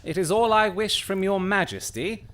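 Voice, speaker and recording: A man playing a cool, shrewd detective in an audio drama, speaking with formal, measured courtesy and dry irony. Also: high-pitched, fairly bright tone. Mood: dryly courteous. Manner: measured, formal, dryly ironic.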